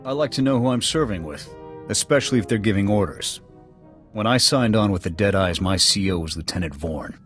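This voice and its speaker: An actor playing a gruff, proud alien military officer in a video game, with an American accent and a blunt, clipped military delivery. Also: male voice, on the low side, lively intonation, fairly quick, a bit bright. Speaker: soldier serving as second to his commander. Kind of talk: video game dialogue acting. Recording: background music under the dialogue.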